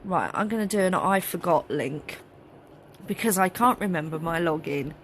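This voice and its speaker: A woman, chatting casually on a live phone stream from a craft studio, slightly hoarse and rambling with many fillers. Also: fairly low pitch, expressive, normal speed, a little hiss or hum. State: slightly hoarse, losing her voice. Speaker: craft group host. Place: cluttered craft studio, indoors. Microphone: phone held at arm's length.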